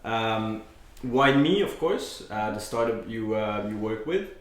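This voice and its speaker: A man's voice: fairly low pitch, animated, unhurried. Hesitant male host, echoey room. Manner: hesitant, many fillers. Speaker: podcast host. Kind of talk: casual interview.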